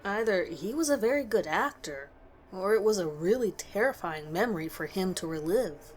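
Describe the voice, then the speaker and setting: Female narrator for scripted horror fiction, medium pitch, faintly noisy recording. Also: expressive, normal speed, darkish tone.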